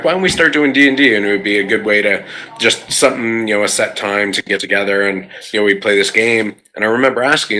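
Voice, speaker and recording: A man's voice: fairly low pitch, pushed and bright, rough recording. A man in a casual conversation, talking as a panelist on a gaming show. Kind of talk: casual chat.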